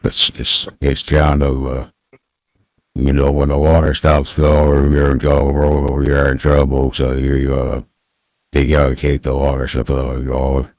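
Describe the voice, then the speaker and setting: An extremely deep, processed voice of a rambling old backwoods Southerner on a prank call. He slurs and mumbles affably with little melody, repeating himself as he goes. The sound is close and dry, run through a voice changer. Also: completely flat delivery, darkish tone, no room sound. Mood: rambling, folksy. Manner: rambling, halting, repeats words. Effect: pitch-lowering voice changer. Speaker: scam baiter. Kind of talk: prank phone call in character.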